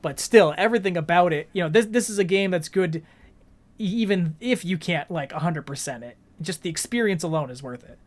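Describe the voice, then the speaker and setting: A man's voice: high-pitched, lively intonation, a little fast. A man co-hosting a gaming podcast, explaining things earnestly in a casual conversational style. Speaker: podcast co-host.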